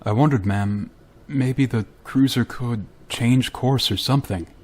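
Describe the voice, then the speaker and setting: An actor playing a troubled, dutiful space pilot who narrates in a terse, grave way in a radio play. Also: male voice, medium pitch, a little slow, a little hiss or hum, close to an everyday voice. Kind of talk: dramatic radio play acting with first-person narration.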